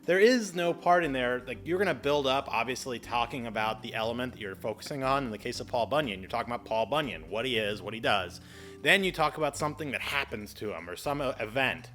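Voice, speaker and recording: A man hosting an explainer video in a casual, chatty style, animated and exclamatory, with playful exaggerated asides. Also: mid-range voice, fast, soft background music. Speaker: video host giving public speaking tips.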